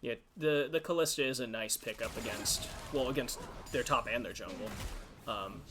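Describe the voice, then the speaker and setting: A man casting an esports match with relaxed, hesitant commentary and easy laughter. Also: mid-range voice, normal speed. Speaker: esports caster. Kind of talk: play-by-play commentary. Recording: faint background noise.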